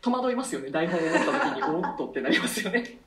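A woman with a fairly low voice and very lively intonation chats casually as a co-host. The sound is echoey, from a little way off the mic. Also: fast talker, far from the mic, a crowd in the background. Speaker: theatre troupe member co-hosting a radio talk show. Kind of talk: casual chat, agreeing and reacting. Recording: echoey room, away from mic.

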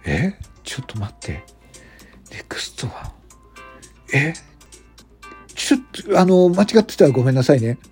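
A man's voice: mid-range voice, very animated, normal speed, pauses often, darkish tone, close to an everyday voice. A man playing a polite, modest male comedian with a hesitant manner in an amateur radio drama. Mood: astonished. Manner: deferential, hesitant. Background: background music.

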